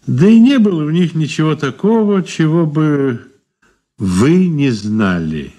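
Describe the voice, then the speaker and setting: Sly old man, animated delivery. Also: fairly high pitch, measured pace. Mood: guarded, dry. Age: older. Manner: courteous, sly, condescending endearments. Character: shrewd, ironic old man being questioned. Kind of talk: Soviet radio play acting, 1980s.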